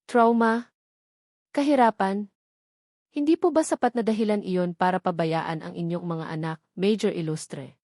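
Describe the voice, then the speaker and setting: A woman's voice: medium pitch, moderate pace, pauses often, darkish tone, no room sound, studio quality, natural voice. Woman reading as an accusing courtroom lawyer, Filipino accent. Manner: formal, pressing interrogation. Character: accusing male courtroom lawyer, hard and relentless.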